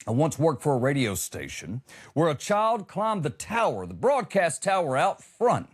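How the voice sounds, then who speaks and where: A man's voice: fairly high pitch, wildly swooping pitch, moderate pace, breathy edge. A man hosting a comedy show, delivering a conversational monologue with exasperated, emphatic punchlines.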